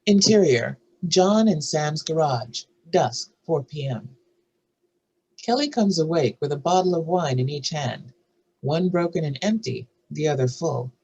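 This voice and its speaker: Husky, highish-voiced male screenplay narrator. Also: expressive, unhurried, darkish tone, lo-fi sound. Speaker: narrator reading stage directions.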